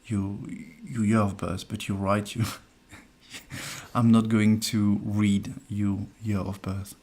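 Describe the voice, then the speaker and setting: A man hosting a casual live numerology stream, soft-spoken and hesitant as he thinks aloud. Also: on the low side, flat delivery, takes it slowly. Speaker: numerologist host.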